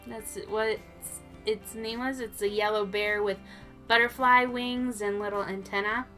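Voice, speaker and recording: A woman's voice: medium pitch, fairly even intonation, unhurried, pushed and bright, soft background music. A woman co-hosting a toy show in casual, informal chat, thinking aloud as she goes.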